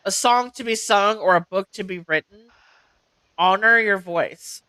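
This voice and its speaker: A woman reading oracle card meanings aloud as host, in a measured, deliberate way with an occasional stumble. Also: fairly low pitch, sharp tone, clean tone, dry recording, poor audio.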